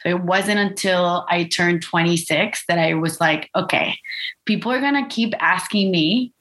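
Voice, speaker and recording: Young woman from costa rica, lowish voice, halting reflective storytelling, lots of um and like. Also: a bit bright. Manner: halting, many fillers and restarts. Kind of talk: personal story, casual and reflective.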